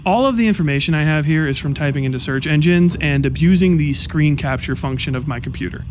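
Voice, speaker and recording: A man giving scripted commentary narration, walking through a timeline in a measured, explaining manner. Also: on the high side, a little hiss or hum.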